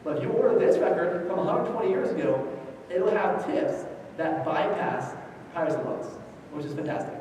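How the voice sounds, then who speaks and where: High-voiced man lecturing in an echoing hall. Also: expressive, normal speed, a little mellow. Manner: conversational, short choppy phrases, personal anecdotes. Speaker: genealogy conference presenter. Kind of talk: informal instructional lecture on genealogy. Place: large echoey indoor room, live talk. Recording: echoey hall, distant mic, faint noise.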